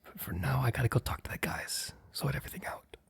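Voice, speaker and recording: A man playing a guarded, earnest mob boss boyfriend in an intimate ASMR roleplay, speaking in a hesitant, breathy, husky whisper. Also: medium pitch, animated, fast talker. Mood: resigned, earnest. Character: guarded, apologetic young male mob boss, boyfriend confessing.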